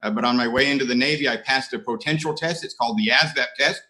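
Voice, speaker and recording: A middle-aged American man tells his recovery story with a dry, self-mocking wit. His voice is bright and forward, and his pitch sits in an ordinary middle range. He pauses often on "uh" between wry asides. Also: rough recording. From United States. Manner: self-mocking, dry humor, many uhs. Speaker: AA speaker. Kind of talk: recovery share talk. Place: online conference.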